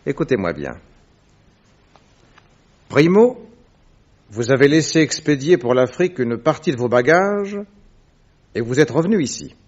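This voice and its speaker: A man's voice: mid-range voice, very animated, unhurried, long pauses, a little mellow. A man playing a shrewd, commanding detective in a French radio mystery, speaking with precise, methodical, theatrical assertiveness. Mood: cold, accusing. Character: shrewd, commanding male detective laying out an accusation. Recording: dull, lo-fi old radio recording.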